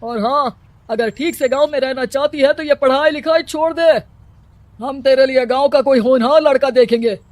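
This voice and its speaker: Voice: high-pitched, moderate pace, no echo, lightly acted. A performer voicing a scheming, bossy village headman who scolds and threatens villagers in a storybook cartoon. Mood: scolding. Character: scheming middle-aged male village headman.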